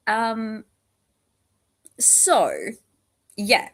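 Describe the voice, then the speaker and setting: A woman hosting a casual livestream, chatty and rambling, her voice croaky and tired, greeting viewers one by one. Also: fairly high pitch, steady tone, extremely slow, fairly bright tone. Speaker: livestream host.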